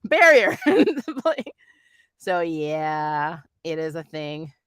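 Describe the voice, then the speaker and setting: Bright, animated reviewer in casual fan chat. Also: medium pitch, very slow, smooth, no echo, clean studio sound. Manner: animated, blunt, slangy, laughs often. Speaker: review host reading viewer comments.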